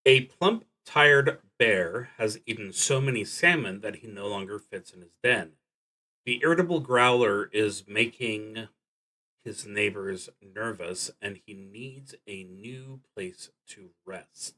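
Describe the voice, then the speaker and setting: A man streaming a solo tabletop roleplaying game, thinking aloud and reading out prompts in a halting, deliberate way with long pauses. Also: on the low side, expressive, pushed and bright, low-quality recording.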